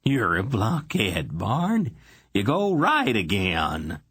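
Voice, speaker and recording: A hot-headed male miner , as a narrator plays him, barking his words testily and loudly. The voice is husky, close and dry. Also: lowish voice, wildly swooping pitch, slow pace, no echo, pitched above the performer's own voice, heavily acted. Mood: testy. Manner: testy, loud. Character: testy male miner, quick-tempered and loud.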